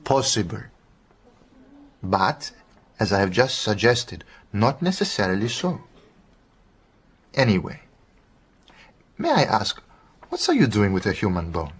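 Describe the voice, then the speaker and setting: Husky Southern African gentleman doctor. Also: on the low side, very animated, exaggerated voice. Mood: cautious. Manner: courteous, measured, precise phrasing. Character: genial, cautious, teasing male doctor. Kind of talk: radio drama acting.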